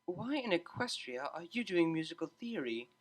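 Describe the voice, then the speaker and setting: A woman's voice: lowish voice, very animated, moderate pace. A woman voicing a refined, haughty mare in a pony story, speaking formally and precisely with a condescending edge. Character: refined, haughty gray mare, cellist, prim and cutting.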